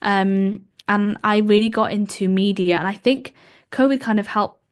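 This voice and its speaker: A woman's voice: medium pitch, normal speed. Welsh woman in her 20s, welsh is her first language, chatty and easygoing, lots of ums and likes. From Wales. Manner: chatty, warm laughs, frequent ums and likes. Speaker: podcast guest, journalist. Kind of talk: casual interview chat.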